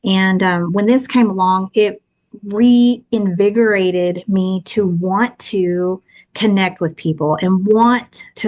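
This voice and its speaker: A woman's voice: mid-range voice, darkish tone. Chatty Texan woman in her fifties. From Texas, USA. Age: 50s. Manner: earnest and chatty, long rambling answers. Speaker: guest author. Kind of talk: casual interview chat.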